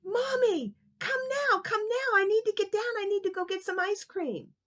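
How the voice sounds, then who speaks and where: A woman voicing an eager, impatient little boy who pleads in a high voice. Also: moderate pace, a bit bright. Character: eager little boy Kevin, whiny and excited.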